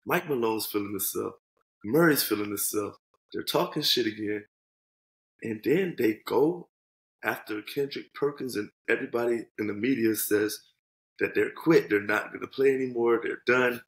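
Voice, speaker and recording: A man co-hosting a casual sports podcast, animated and joking, swearing freely as he talks over a video call. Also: medium pitch, moderate pace, echoey room. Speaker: podcast co-host. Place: home room. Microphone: headset on video call.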